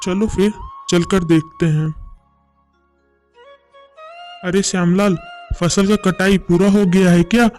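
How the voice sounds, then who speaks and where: A man plays a scheming rich landowner from a village cartoon. The voice is high for a man and speaks with bossy self-satisfaction, with music underneath. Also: fairly even intonation, moderate pace, darkish tone, natural voice. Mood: businesslike. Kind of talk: animated moral story dialogue in Hindi.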